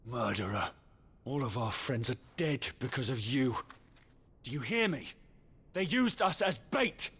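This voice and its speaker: A man's voice: medium pitch, expressive, measured pace, long pauses, a bit bright, breathy edge. An actor playing a bitter, aggrieved man in a video game, speaking in an accusing manner. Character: bitter, aggrieved man blaming his would-be saviours. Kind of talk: dramatic video game acting.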